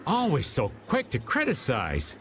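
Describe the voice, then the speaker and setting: An actor playing a cocky, whiny middle-aged rogue in a video game, theatrical and complaining, with an American accent. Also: male voice, mid-range voice, huge pitch swings, a little slow, background noise. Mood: cocky, exasperated.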